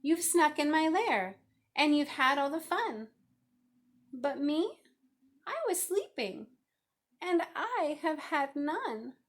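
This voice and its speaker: A woman's voice: high voice, very animated, slow, long pauses, voice pushed up, full character voice. A woman voicing a big, grumbling, self-pitying bear with a whimpering, aggrieved delivery in a storybook. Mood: aggrieved, whiny.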